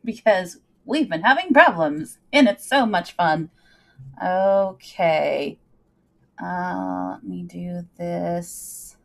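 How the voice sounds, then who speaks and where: A woman's voice: on the low side, expressive, extremely slow, creaky. A woman hosting a casual livestream, talking in a bubbly, playful way with folksy turns of phrase. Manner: bubbly and playful, with folksy greetings and sing-song muttering. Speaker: livestream host.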